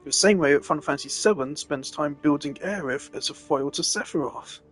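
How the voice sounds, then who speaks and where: A man's voice: a little high, fairly quick, a bit bright, husky. Exasperated male streamer ranting over music. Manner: opinionated, exasperated, emphatic. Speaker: game live streamer. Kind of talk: casual opinionated rant while streaming.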